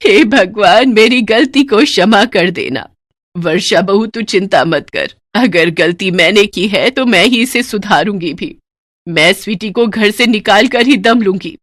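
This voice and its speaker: A woman's voice: medium pitch, unhurried, a bit bright, no echo, studio quality. A woman voicing an elderly, fretful mother-in-law with a plaintive way of talking in a storybook drama. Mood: remorseful.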